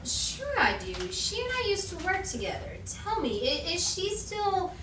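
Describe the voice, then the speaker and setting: Woman as a high-voiced, teasing 1950s leading lady. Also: big pitch swings, measured pace, a bit bright, full character voice. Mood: surprised, curious. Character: skeptical, teasing young woman, 1950s romantic lead. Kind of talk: 1950s-style live radio drama acting. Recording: echoey room, distant mic, clear noise.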